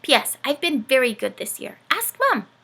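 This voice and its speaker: Woman voicing an eager little girl penguin, bright and lively. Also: high-pitched, normal speed, gravelly, breathy edge, voice pushed up, exaggerated voice. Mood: earnest, hopeful. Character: excitable young girl penguin, eager and earnest.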